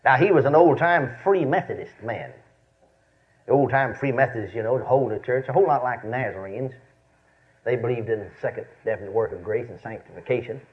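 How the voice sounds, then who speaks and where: Animated Texan preacher, dull recording. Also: male voice, mid-range voice, a little fast. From Texas, United States. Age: 50s. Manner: repeats phrases for emphasis, checks in with listeners. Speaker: preacher and Bible teacher. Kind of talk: folksy storytelling sermon.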